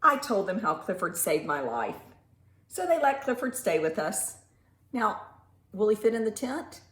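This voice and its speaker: A woman reading a picture book aloud to young children in an animated, teacherly way, pausing to ask simple questions and stretching words for emphasis. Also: mid-range voice. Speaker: children's storytime reader and teacher. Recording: roomy echo with faint background noise.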